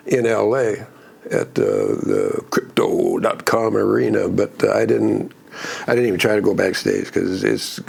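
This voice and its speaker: A man with a gruff voice hosting a casual music breakdown, rambling with restarts and plenty of enthusiasm. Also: lowish voice, a little mellow. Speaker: music channel host.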